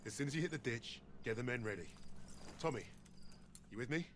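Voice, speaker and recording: A man's voice: mid-range voice, animated, a little fast, a little hiss or hum, close to an everyday voice. A man with a British accent playing a bold, resolute wartime sergeant in a video game drama. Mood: calm, planning. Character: bold British paratrooper sergeant, resolute male squad leader.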